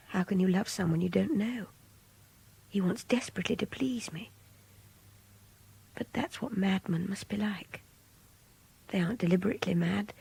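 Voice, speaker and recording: A breathy, hushed young captive woman. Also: mid-range voice, dry recording, heavily acted. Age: young adult. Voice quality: breathy, often near-whispered, gravelly edge. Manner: hushed, brooding, confiding. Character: young kidnapped woman captive, frightened, contemptuous, passionate, intense. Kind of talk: radio drama monologue, intimate diary narration.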